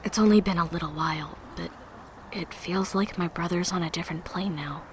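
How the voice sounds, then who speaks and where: A woman playing a withdrawn, uneasy young survivor in an apocalypse audio drama, speaking in a hesitant, hushed way. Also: lowish voice, a little fast, lightly acted. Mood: uneasy, suspicious. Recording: clearly noisy amateur recording with ambience.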